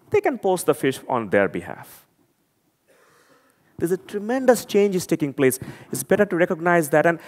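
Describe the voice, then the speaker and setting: A man from Bangladesh with a Bangladeshi accent, giving an earnest, emphatic talk to an audience and often repeating words as he searches for them. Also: sits high. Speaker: conference speaker. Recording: long hall reverb.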